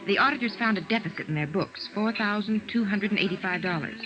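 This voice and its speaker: A woman's voice: mid-range voice, fast, natural voice. An actress playing a friendly, businesslike American insurance office woman with a coy, playful manner in a 1950s radio drama. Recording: dull old radio recording.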